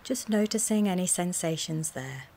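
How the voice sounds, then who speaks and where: A woman with a slightly low voice guides a mindfulness relaxation session. She speaks gently and soothingly, in short measured phrases with pauses between them. There is clear background noise on the recording. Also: expressive. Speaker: wellness coach guiding a relaxation session.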